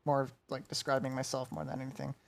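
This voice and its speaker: Gravelly man, halting speech. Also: mid-range voice, completely flat delivery, a crowd in the background. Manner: halting, stumbling over words. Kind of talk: casual chat.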